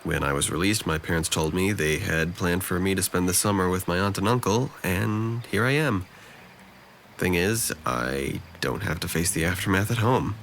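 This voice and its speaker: A man's voice: low-pitched, normal speed, fairly bright tone, slight background noise. A man acting a depressed, guarded young man in an audio drama, speaking with dry, self-deprecating hesitance. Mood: downcast, confessing.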